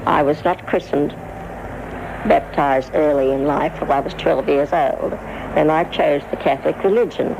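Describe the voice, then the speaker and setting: Creaky-voiced older Australian woman, muffled recording. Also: low voice, moderate pace. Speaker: interviewee, veteran stage performer. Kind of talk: reminiscing interview. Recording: muffled, faintly noisy archival interview.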